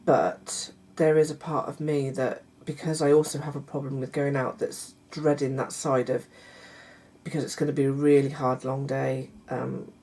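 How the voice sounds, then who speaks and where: A middle-aged woman, chatting casually to her viewers in a croaky voice, hesitant with many fillers and restarts. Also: rumbling low, level tone, moderate pace. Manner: warm confiding tone toward viewers. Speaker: vlogger. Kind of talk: casual chat to camera.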